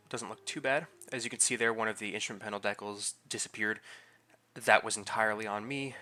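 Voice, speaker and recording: A fairly low-voiced man talks through his hobby build in an easy, rambling way, full of fillers and restarts. His tone is bright and forceful, and a lingering head cold leaves him a bit nasal and stuffy. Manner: loose and chatty, with many fillers and restarts. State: getting over a head cold, slightly congested. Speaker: scale model builder and channel host. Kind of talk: casual voice-over narration of a model build.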